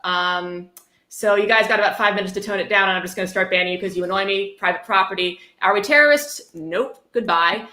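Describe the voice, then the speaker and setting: Bright-voiced sarcastic woman in a roomy space. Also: medium pitch, a little fast. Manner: sarcastic, sweary. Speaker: livestream host moderating chat. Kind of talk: casual livestream chat with dry banter. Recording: roomy echo.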